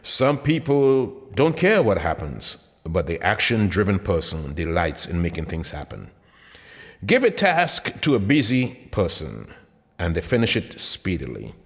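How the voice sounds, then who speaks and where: A man reading his own motivational audiobook in an emphatic, deliberate style with dramatic pauses. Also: deep voice, sing-song, fairly bright tone. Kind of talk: scripted motivational audiobook narration. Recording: long hall-like reverb.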